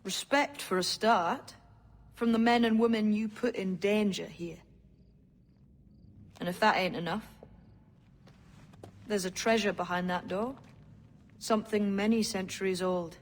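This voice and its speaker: A frank young assassin, noisy surroundings. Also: male voice, high-pitched, steady tone, a little slow, long pauses. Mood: calm, matter-of-fact. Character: young assassin, devout believer in liberty, frank and calm. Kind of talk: video game cutscene acting. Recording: clear ambient noise behind the voice.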